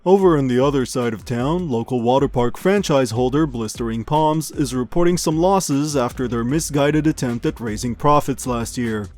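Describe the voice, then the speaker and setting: A man's voice: medium pitch, moderate pace, few pauses, darkish tone, no room sound, soft background music, lightly acted. A man playing an upbeat amusement news show host, reading scripted comedy news with showy announcer polish and a straight face. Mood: upbeat, deadpan.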